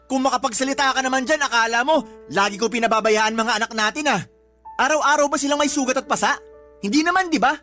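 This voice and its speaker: A sulky young stay-at-home dad in a radio drama. He talks fast and defensively, with an irritable, sarcastic edge, over a faint music bed. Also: very high-pitched, sharp tone. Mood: sulky, annoyed. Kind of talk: Tagalog radio drama acting. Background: background music.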